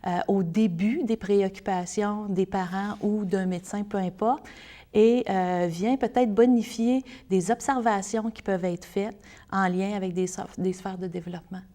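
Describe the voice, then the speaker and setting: A woman's voice: mid-range voice, fairly even intonation, normal speed, mellow. A woman with a Québécois French accent giving earnest, explanatory answers as an interview guest speaking for a service.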